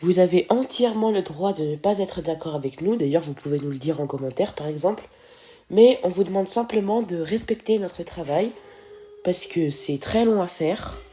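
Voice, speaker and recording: A woman's voice: on the low side, lively intonation, normal speed, mellow. A teenage girl with a stuffy head cold, chatting casually through a film video and tripping over her words. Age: teens.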